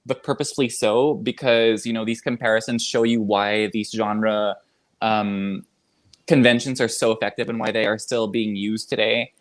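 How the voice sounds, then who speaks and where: A man co-hosting a live book chat, giving thoughtful, analytical opinions with quick laughs. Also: fairly low pitch, little pitch movement. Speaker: book club co-host.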